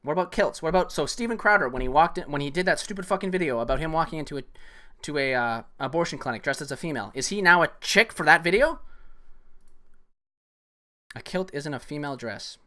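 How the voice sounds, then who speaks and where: A man's voice: fairly high pitch, expressive, fast, bright, forceful tone. A man arguing heatedly with a viewer on his live stream, swearing often. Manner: heated, argumentative, swears a lot. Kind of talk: casual live-stream rant.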